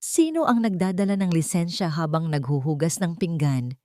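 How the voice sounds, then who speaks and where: Woman narrator playing a manipulative wife in a romantic melodrama, gently poisonous and falsely tender, with a very dry sound. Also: lowish voice, expressive, normal speed, no echo, natural voice. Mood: insinuating. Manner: soft, coaxing, insinuating. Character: cunning, manipulative wife feigning tender concern. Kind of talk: dramatic audio story dialogue.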